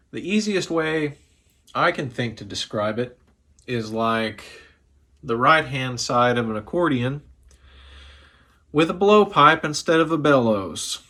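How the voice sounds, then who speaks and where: A young man who is sick and slightly hoarse, talking loosely and profanely to camera from his living room after a few drinks. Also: mid-range voice, pushed and bright. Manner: profane, loose and chatty, a bit tipsy. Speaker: music vlogger. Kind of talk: casual rambling talk to camera.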